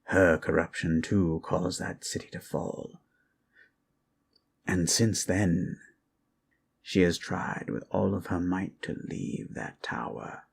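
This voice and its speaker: A man voicing an ancient, weary undead lich who tells history in a hushed, solemn, gravelly voice for an ASMR roleplay. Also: low-pitched, fairly even intonation, exaggerated voice. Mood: grave, reminiscing. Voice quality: gravelly, creaky. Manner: measured, hushed, solemn, with long pauses. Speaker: storyteller. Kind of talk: ASMR roleplay, slow improvised fantasy history lesson.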